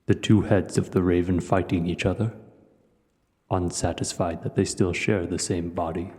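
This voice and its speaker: Huge menacing dragon, played by a man: dark, cavernous reverb, pompous and formal, for audio roleplay. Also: steady tone, close to an everyday voice. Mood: intrigued, measured. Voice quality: deep, dark voice. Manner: slow, deliberate, formal, pompous. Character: huge male dragon, imperious, menacing, learned, coolly curious. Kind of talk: scripted audio roleplay voice acting.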